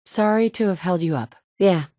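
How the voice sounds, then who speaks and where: A tough, sardonic wasteland survivor with a low-ish woman's voice, played by an actress, blunt and dry, tossing off sarcastic asides. The studio sound is close and completely dry, with no room around it. It sounds like polished game dialogue. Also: moderate pace, dark tone, dry recording, close to an everyday voice. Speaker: player character. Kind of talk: video game voice acting. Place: studio booth. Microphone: close studio mic.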